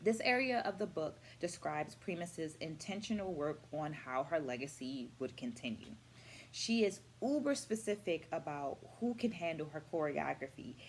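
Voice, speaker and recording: A middle-aged woman speaking as an educator in a reflective vlog, with deliberate, articulate phrasing and emphatic stresses. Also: deep voice, slight background noise, poor audio. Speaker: vlogger and dance educator. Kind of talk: reflective book-review vlog.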